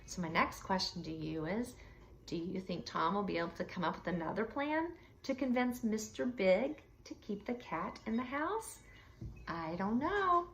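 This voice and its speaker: A woman reading a children's story aloud expressively, with deliberate, dramatic emphasis for young listeners. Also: mid-range voice, big pitch swings, a little hiss or hum. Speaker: read-aloud storyteller for schoolchildren. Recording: echoey room, slightly distant mic.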